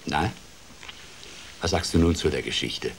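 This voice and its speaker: A man's voice: a bass voice, unhurried, creaky, a little hiss or hum, full character voice. An actor playing a playful, wry older grandfather telling a fairy tale expressively in a radio play. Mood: dramatic storytelling. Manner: expressive, dramatic, shifts between hushed and lively. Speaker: storyteller.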